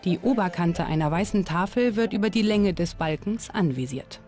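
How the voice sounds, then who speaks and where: Female documentary narrator, scripted read, soft mellow tone, music underneath. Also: lowish voice, expressive, normal speed. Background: background music.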